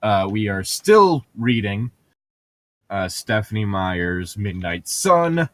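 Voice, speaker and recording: A man's voice: lowish voice, very animated, slow pace, a bit bright. A man hosting a podcast, reading a novel aloud in a casual group chat, with a sarcastic, irreverent, crude sense of humour.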